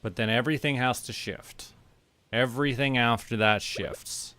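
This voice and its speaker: A man from Canada doing casual gameplay commentary, thinking aloud to himself in short, unhurried remarks. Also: mid-range voice, pushed and bright, slight background noise. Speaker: livestreamer playing a farming game. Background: game music.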